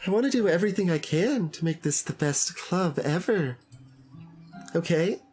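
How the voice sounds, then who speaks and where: A man's voice: sits high, animated, moderate pace, darkish tone. A man voicing an earnest, upbeat teenage girl who leads a school club in a visual novel, speaking sincerely over music. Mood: encouraging. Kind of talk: voiced visual novel reading. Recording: music playing behind the voice.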